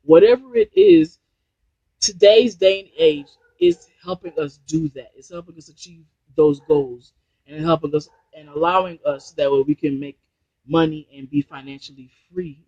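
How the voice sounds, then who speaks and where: A man's voice: fairly high pitch, animated, moderate pace, gravelly, warm tone, no room sound, muffled recording. A man from New Jersey giving rambling, motivational business advice on a casual live stream over a Bluetooth headset. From New Jersey, USA. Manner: rambling, repeats and restarts phrases, motivational. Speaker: online business trainer.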